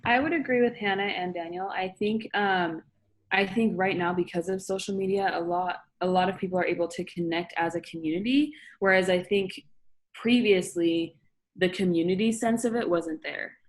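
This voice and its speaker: A young woman from Utah speaks on a discussion panel. She talks in a thoughtful, measured way. Her voice sits a little low. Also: steady tone. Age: young adult.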